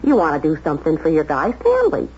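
A woman's voice: low-pitched, wildly swooping pitch, fast, a little mellow, husky, full character voice. An actress playing a brassy, meddling cleaning woman in a 1970s radio drama, slangy and blunt as she hands out advice. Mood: urgent, needling. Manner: slangy, blunt, wheedling know-it-all. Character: brassy, streetwise, meddling cleaning woman, woman married to an older man. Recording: dull vintage radio recording, faint noise.

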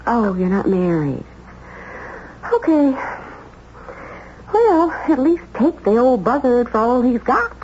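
A woman's voice: on the high side, very animated, takes it slowly, pauses often, darkish tone. An actress playing a brassy, meddling cleaning woman in a 1970s radio drama, slangy and blunt as she hands out advice. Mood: nosy, knowing. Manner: slangy, blunt, wheedling know-it-all. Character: brassy, streetwise, meddling cleaning woman, woman married to an older man. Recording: dull vintage radio recording, faint noise.

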